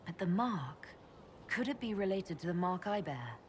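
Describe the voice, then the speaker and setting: An actress playing a composed, inquisitive leader of an order in a video game, earnest and level-headed. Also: female voice, lowish voice, very animated, moderate pace, darkish tone, a little hiss or hum. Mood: composed, curious.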